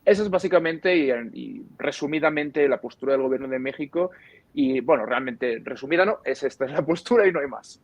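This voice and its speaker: A man's voice: fairly high pitch, fairly quick, no room sound. A man with an accent from Spain gives explanatory commentary as an interviewed analyst, choosing his words carefully and qualifying his points. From Barcelona, Spain.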